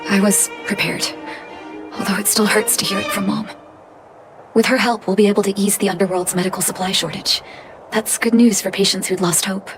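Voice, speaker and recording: An actress playing an earnest, gentle and caring woman doctor in a video game. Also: mid-range voice, steady tone, a little fast, close to an everyday voice. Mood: wistful, resolved. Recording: music behind the voice. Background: music.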